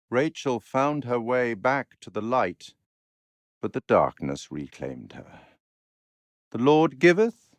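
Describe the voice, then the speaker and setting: An urbane, sardonic curator who narrates a dark video game. His voice is on the low side and very unhurried, with dramatic pauses. The delivery is formal, wry and theatrical, sweeping widely in pitch as he weighs the fates of others. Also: dry recording, studio quality, heavily acted. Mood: grave, courteous.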